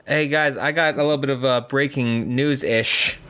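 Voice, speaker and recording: A man's voice: medium pitch, steady tone, normal speed, pushed and bright, clear, resonant tone. Man co-hosting a casual chat. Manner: steers the talk, brings up the next topic. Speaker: podcast co-host.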